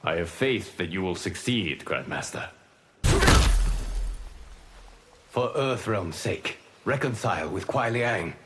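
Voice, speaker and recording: An actor playing a wise, calm protector god in a video game, speaking in a solemn, measured, formal way. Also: male voice, low voice. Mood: solemn, encouraging. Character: wise, calm male god protecting Earth, stern but kind. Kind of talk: video game dramatic dialogue. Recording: noisy game capture with clicks.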